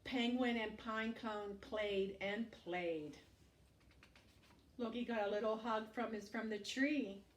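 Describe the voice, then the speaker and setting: A woman reads a picture book to young children, slowly and expressively, in a fairly high voice. She adds little asides and questions as a teacher would. The room echoes around her. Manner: expressive, asks the listener questions. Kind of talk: read-aloud storytelling to young children with asides. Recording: echoey room, distant microphone.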